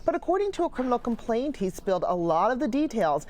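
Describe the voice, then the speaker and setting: A woman's voice: fairly high pitch, very animated, quick pace, a little mellow. An American woman giving a scripted live news report from a newsroom.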